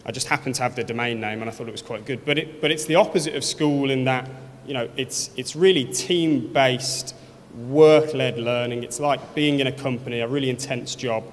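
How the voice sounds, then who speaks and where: A man's voice: medium pitch, a little fast. A man from Birmingham with a Birmingham accent, speaking earnestly at an awards event, with many fillers and restarts. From Birmingham, England. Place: large event hall. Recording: long hall reverb.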